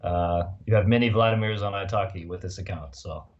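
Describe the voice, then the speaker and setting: A man's voice: sits low, a little fast. A man teaching English in an online lesson, asking patient, encouraging questions. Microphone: video call. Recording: dull lo-fi call audio.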